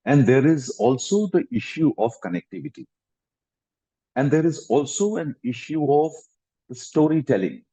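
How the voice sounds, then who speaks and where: Mellow, husky Indian man on a call. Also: mid-range voice. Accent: Indian English. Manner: explanatory, restarts phrases, emphatic rises. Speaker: guest actor. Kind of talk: interview answers over a video call. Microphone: video call. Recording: dull lo-fi online call audio.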